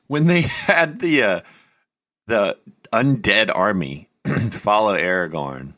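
A man's voice: fairly low pitch, slow pace. A man co-hosting a podcast, chatting casually and opinionated, often restarting his sentences. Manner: opinionated, animated, trails off into restarts. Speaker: podcast co-host. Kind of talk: casual chat.

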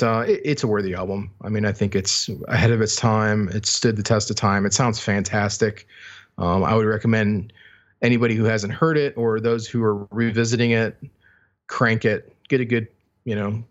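A man's voice: fairly low pitch, highs cut off. A man co-hosting a music podcast, giving album opinions in casual chat with a loose, hedging, conversational manner.

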